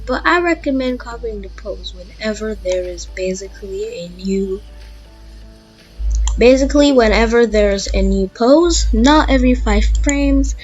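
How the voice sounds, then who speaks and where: Slow-paced, high-voiced young-sounding woman tutorial host. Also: animated, a little mellow. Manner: informal, thinking aloud while demonstrating. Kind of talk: casual voiced software tutorial. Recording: dull lo-fi mic, background music.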